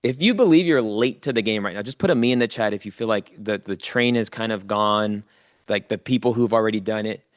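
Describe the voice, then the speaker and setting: A man's voice: on the low side, a little fast, no room sound, studio quality. A man giving a motivational coaching talk to a live online audience, speaking informally and directly to listeners and repeating key phrases for emphasis.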